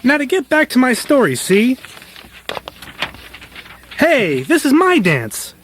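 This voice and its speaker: A man's voice: very high voice, huge pitch swings, takes it slowly, fairly bright tone, a little hiss or hum, exaggerated voice. A man playing a pesky, taunting young punk with a mocking, needling manner in a comedic radio play. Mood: pushy.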